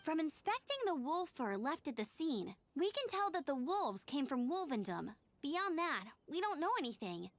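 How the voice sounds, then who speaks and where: A woman's voice: high voice, very animated, normal speed, hardly any pauses, dry recording. An actress playing a dutiful, earnest, resolute young female knight in a video game. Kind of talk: video game character dialogue.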